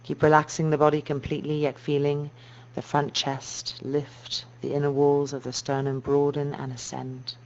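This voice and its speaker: A woman yoga teacher guiding a meditation in a slow, soft, calming and measured way. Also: sits low. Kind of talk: guided meditation instruction. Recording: dull muffled recording with noise and hum.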